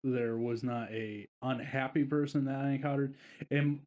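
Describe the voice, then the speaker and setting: A man's voice: mid-range voice, level tone, normal speed, darkish tone. A man co-hosting a casual podcast, telling a long, rambling vacation story with plenty of fillers. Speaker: podcast co-host.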